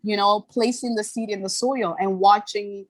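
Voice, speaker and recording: A woman's voice: medium pitch, fairly even intonation, dry recording. Candid young woman telling her story. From born in Ghana, raised in Toronto. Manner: earnest, candid, quick to laugh. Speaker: podcast guest, brand founder. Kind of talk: casual interview storytelling.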